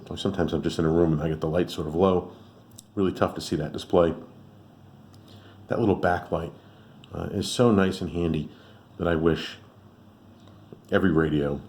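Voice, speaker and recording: A man's voice: sits low, darkish tone. A man giving a casual, unscripted product review as a host, rambling conversationally and thinking aloud. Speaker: radio reviewer and channel host.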